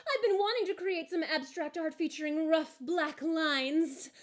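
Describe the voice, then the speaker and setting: An excitable young boy from a storybook, played by a woman reader. His voice leaps very high and he gushes in exclamations, full of artistic zeal. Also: a little slow. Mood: thrilled amazement. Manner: exclamatory, gushing. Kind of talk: children's storybook character voice.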